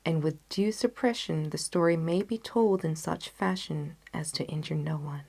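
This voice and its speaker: A woman reading a story aloud as an audiobook narrator, in a measured, literary delivery. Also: lowish voice, creaky, a little mellow.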